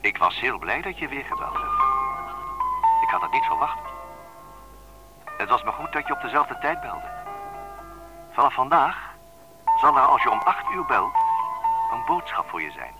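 An actor in a radio play playing a playful, mysterious man speaking deliberately on his answering machine, with music behind him. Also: mid-range voice, very animated, natural voice, rough recording. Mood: intimate, expressive. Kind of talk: radio drama acting as recorded phone message.

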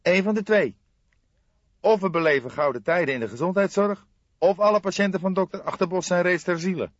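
A man's voice: sits high, fairly quick, no room sound, muffled recording, voice pushed up. A man voicing an irritable, sardonic young police detective in an audiobook. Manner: exclamatory, gloomy, sardonic. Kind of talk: audiobook character voice.

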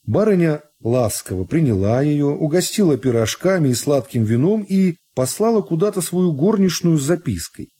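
A man reads literary narration for radio in a measured, deliberate storytelling manner. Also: on the high side, lively intonation, mellow, husky. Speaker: narrator of a radio reading of a classic novel.

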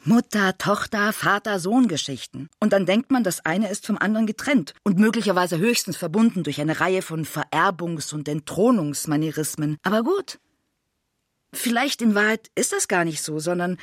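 A woman playing a restless, self-doubting performer who muses aloud in a halting, ironic, conversational radio monologue. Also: lowish voice, lively intonation, dry recording, clean studio sound. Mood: ironic, puzzled. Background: music.

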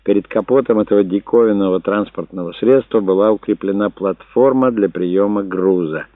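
Male audiobook narrator, low voice, dramatic storytelling, tinny band-limited sound, dry. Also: moderate pace, no room sound. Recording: dull narrow phone-like sound.

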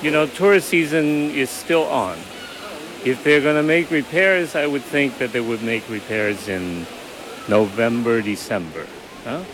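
A man of medium pitch talks slowly and conversationally, musing through a casual street interview. Clear outdoor traffic noise surrounds him. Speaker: tourist being interviewed.

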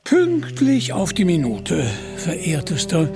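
A man's voice: fairly high pitch, huge pitch swings, slow, vocal fry, over music, heavily acted. A man voicing a greedy, pompous medieval bishop in an audio storybook, with condescending mock courtesy. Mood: oily, mock-polite.

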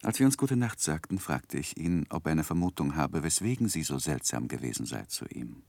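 Earnest, deep-voiced young gentleman. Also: sing-song, fairly quick, creaky, dry recording, heavily acted. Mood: grave, reflective. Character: earnest, reserved young gentleman recounting his own past. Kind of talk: 1960s German radio play acting and narration.

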